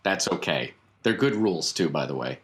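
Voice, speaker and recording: A man speaking as game master in an improvised tabletop roleplaying session, with measured, halting phrasing. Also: deep voice, expressive. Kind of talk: improvised tabletop roleplay narration and in-character dialogue.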